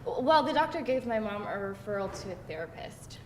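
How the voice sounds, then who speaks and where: A woman's voice: lively intonation, a little fast, lightly acted. A woman acting a candid, sarcastic young woman at a live screenplay read, in a conversational style. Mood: amused confession. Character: candid, wry, sarcastic young woman recounting her teens. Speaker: actor at a screenplay table read. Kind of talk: live read of conversational comedy-drama dialogue. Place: live table read in a room. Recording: roomy, distant mic, faint rumbling noise.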